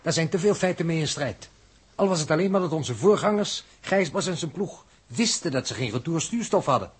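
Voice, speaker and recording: A man's voice: fairly high pitch, lively intonation, a little fast, husky. An actor playing a sceptical, questioning spaceship crewman in a 1960s radio play, speaking.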